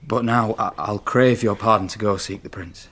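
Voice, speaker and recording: Loyal young soldier, low voice, solemn measured verse, radio tragedy. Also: male voice, steady tone, fairly bright tone. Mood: humble, courteous. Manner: measured, formal storytelling. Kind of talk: classical radio drama verse acting.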